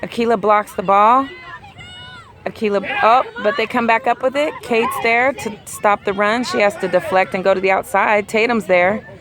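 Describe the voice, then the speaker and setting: A woman doing play-by-play of a youth soccer game outdoors, hoarse and losing her voice. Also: medium pitch. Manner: rapid play-by-play, rising excitedly at key plays. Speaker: amateur sideline commentator. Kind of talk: play-by-play commentary. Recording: outdoor crowd and traffic noise.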